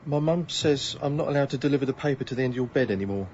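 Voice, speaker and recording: Radio comedy: man as young paperboy, sheepish and fast-talking, slightly noisy recording. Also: medium pitch, darkish tone, muffled recording, lightly acted. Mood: awkward, apologetic. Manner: hesitant, matter-of-fact. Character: apologetic, awkward young paperboy.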